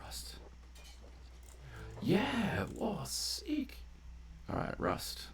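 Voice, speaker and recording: A man giving casual gameplay commentary, muttering asides and exclaiming as he reacts out loud. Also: high-pitched, wildly swooping pitch, extremely slow, a little hiss or hum. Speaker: gamer narrating a playthrough.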